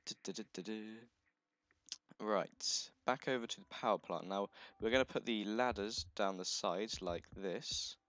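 A man with a croaky, just-woken voice, chatting casually through a gameplay video, thinking aloud and correcting himself as he goes. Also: lowish voice, unhurried, fairly bright tone, no echo. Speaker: let's play host.

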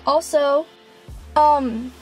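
A woman's voice: high-pitched, drawn-out words, darkish tone, soft background music, poor audio. A teenage girl from Utah chatting casually for a vlog, nervous and giggly, filmed on a phone camera. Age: teens. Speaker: vlogger. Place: indoors at home, then inside a car. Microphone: phone camera at arm's length, then dashboard camera.

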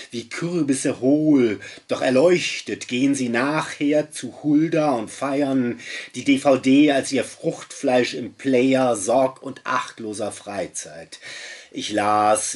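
Guy in his 50s reading a poem out loud, slow and solemn, kinda rough husky voice, dramatic pauses. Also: mid-range voice. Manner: solemn, incantatory, with deliberate pauses. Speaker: poet reading own work. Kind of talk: dramatic poetry recitation. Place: small book-filled study indoors by a window. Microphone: webcam or desk mic at close range.

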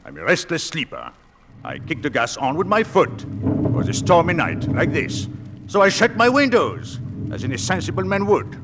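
An actor playing the gruff, commanding ghost of a crusty sea captain in a theatrical radio play. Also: male voice, a little high, huge pitch swings, moderate pace, background noise. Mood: blustering. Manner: commanding, crusty, nautical turns of phrase. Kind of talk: theatrical radio drama acting.

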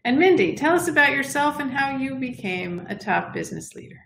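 A woman moderating a video-call panel in a brisk, welcoming way, calling on each speaker. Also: high-pitched, very animated, vocal fry, fairly bright tone, a little hiss or hum. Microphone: webcam on video call. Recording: echoey room over video call.

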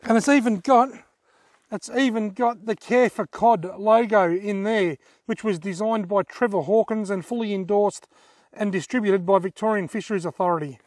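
A man's voice: sits high, moderate pace, a little mellow, dry recording. A man in his forties with an Australian accent, talking casually and effusively to camera as a vlogger. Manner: effusive, enthusiastic. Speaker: fishing vlogger, host. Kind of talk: casual vlog talk to camera. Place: outdoors on a dirt track in bushland.

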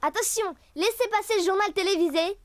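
Very high-voiced little girl reporter. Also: a little fast, a little hiss or hum. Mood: urgent. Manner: crisp, mock-serious announcing. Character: earnest little girl playing self-important TV news reporter. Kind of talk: French cartoon dubbing.

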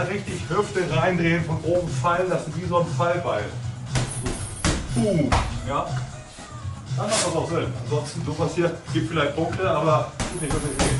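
A middle-aged man coaches a kickboxing session, brisk and full of jokes, calling out combinations and counts. His fairly high voice carries across a big echoing gym, picked up from far off by a camera. Loud music plays behind him. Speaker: kickboxing trainer. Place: large indoor gym.

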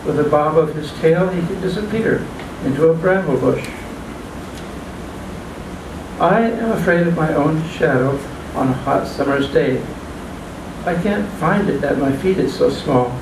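An older man reading his own poems at an open mic in a small restaurant, halting and plain, stumbling and restarting now and then. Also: a little high, vocal fry. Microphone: stand mic, filmed from a far camera. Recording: echoey room, distant mic, background hum.

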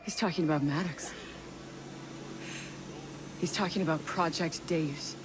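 A woman's voice: low voice, steady tone, unhurried, background noise. A woman playing a skeptical, principled engineer in a video game, speaking in pointed challenges. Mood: wary. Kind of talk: video game cutscene acting.

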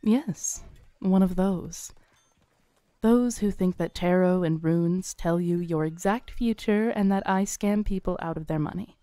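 A young woman with a smooth, low, mellow voice plays a fair fortune teller. She speaks softly, coaxing and gently teasing, close to the mic in a dry room. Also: unhurried, no echo. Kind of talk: scripted roleplay audio.

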